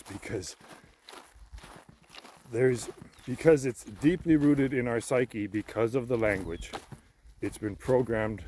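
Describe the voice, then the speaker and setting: A man rambling casually as he walks outdoors, boastful and self-assured, halting and repeating himself as he muses. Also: mid-range voice, warm tone, a little hiss or hum. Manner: halting, repeats and trails off, musing, swears casually. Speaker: vlogger sharing personal philosophy. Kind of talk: rambling casual monologue while walking. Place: outdoors in woodland by a pond, walking.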